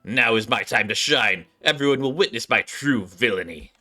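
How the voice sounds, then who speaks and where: A man voicing a haughty, theatrical video game villain who boasts in a pompous, drawn-out manner. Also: medium pitch, animated, bright, forceful tone. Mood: gloating.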